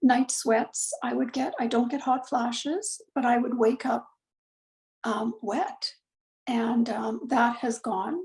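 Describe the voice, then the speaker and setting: A woman talks in a relaxed, rambling way, her voice a little high and gravelly. She fills pauses with ums and corrects herself as she goes. The sound is echoey, like a room picked up over a video call. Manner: chatty, many fillers and self-corrections. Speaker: client giving a testimonial. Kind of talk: casual conversational testimonial.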